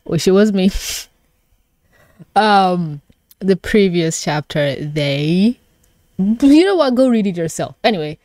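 A woman streamer with a very lively, swooping delivery reacts live in a casual way. She sounds flustered and gushing, giggly and free with swearing, and the recording is dry and close. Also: on the low side, slow pace, pauses often, no echo. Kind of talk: live reaction with casual commentary and reading aloud.

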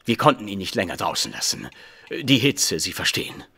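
An actor playing an excitable, blustering police inspector with a husky voice in a German detective radio drama. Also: male voice, on the low side, a little fast, background noise. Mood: businesslike. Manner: excitable, blustering, stumbling over hard words. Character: flustered, eager male police inspector, easily excited.

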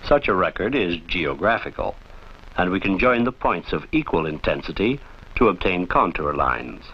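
Deep-voiced male film narrator, dull old soundtrack. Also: very animated, slightly rough. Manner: formal, measured, didactic. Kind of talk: scripted instructional narration.

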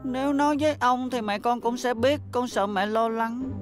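Woman as a timid, high-voiced little schoolboy. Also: fairly even intonation, moderate pace, over loud music, close to an everyday voice. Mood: glum, earnest. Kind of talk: children's cartoon dub acting.

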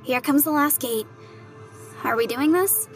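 A woman's voice: high-pitched, a little slow, soft background music. An actress playing a nervous young woman in a horror audio drama, a loyal friend who talks in questions. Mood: anxious resolve.

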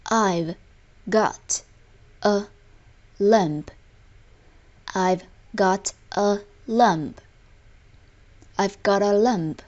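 A woman reads out lesson phrases as a language teacher. Her delivery is extremely slow and deliberate, separating each word carefully. Her pitch sits in an ordinary middle range for a woman. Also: darkish tone, dull audio. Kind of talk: scripted lesson narration, slow repeated example phrases.

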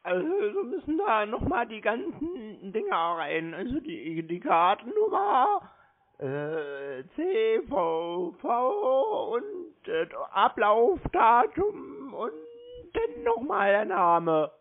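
Male prank caller, hesitant and clueless, slow delivery, muffled phone sound. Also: high voice, wildly swooping pitch, a little hiss or hum. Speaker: prank caller playing along with phone scammers. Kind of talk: unscripted prank phone call. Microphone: phone call. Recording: dull, lo-fi phone call audio.

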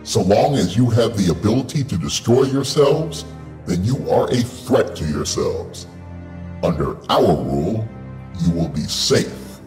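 An actor playing a giant, menacing robot overlord in an audio drama, speaking coldly and slowly. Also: male voice, fairly low pitch, expressive, a little mellow, reverberant, faint music behind. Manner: cold, slow and menacing.

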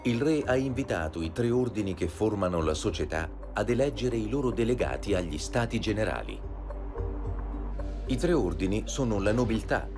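Documentary narrator, low voice, lively, clear music under. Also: male voice. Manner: measured, even delivery.

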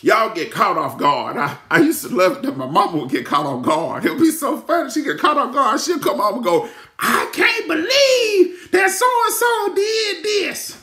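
A middle-aged preacher with a high, bright voice that swings from near-shouts to hushed asides. He repeats his lines for emphasis. Recorded on a phone held at arm's length, with the echo of a room at home. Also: lively intonation, moderate pace, hardly any pauses. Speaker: pastor. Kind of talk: sermon. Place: room at home with ceiling lights. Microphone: phone camera at arm's length. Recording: echoey room.

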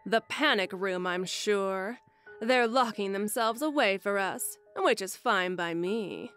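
A woman voicing a proud, strong-willed queen in an animated comic dub. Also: mid-range voice, slow, clear, resonant tone, soft background music. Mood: commanding.

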